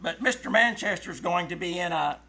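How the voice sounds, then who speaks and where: A man's voice: high-pitched, expressive, normal speed, creaky, bright, forceful tone, heavily acted. Guy doing smart aleck teen son in a 40s radio play, wry, sarcastic, kinda mock formal. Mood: dry, wry. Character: wisecracking teenage son Randolph, sarcastic and cheeky. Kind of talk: 1940s radio comedy acting before live audience.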